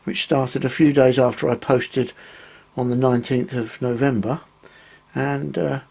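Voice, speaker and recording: A middle-aged man talks through his model railway scenery in a relaxed, rambling way, stopping often to hunt for words. His voice is croaky from a recent cold, with a gravelly, husky edge. He sits at an even, middling pitch. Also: unhurried. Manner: rambling, hesitant, many ums. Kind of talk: casual hobby tutorial.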